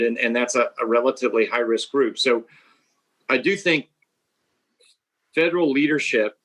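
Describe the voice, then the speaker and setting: Man, public health official, bright forward tone, policy-minded panel talk. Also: mid-range voice. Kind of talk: expert panel discussion.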